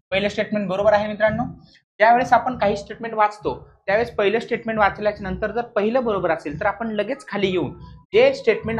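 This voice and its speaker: A man's voice: sits high, dull audio. A man teaching an exam lesson in a didactic way, repeating key points and often asking short questions to check understanding. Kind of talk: explanatory classroom lesson.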